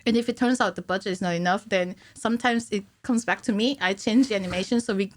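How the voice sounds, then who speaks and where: A woman's voice: medium pitch, quick pace, no echo. A woman around her thirties in casual interview chat as a guest, explaining things in a giggly, informal way. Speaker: podcast guest, animator. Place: small indoor podcast studio. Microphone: close studio mic on a stand.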